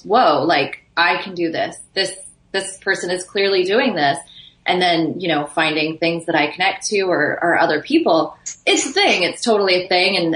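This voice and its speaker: A woman's voice: on the low side, fairly bright tone. A woman in her thirties speaking earnestly in a casual panel discussion, with some fillers. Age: 30s. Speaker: fashion blogger. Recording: roomy echo.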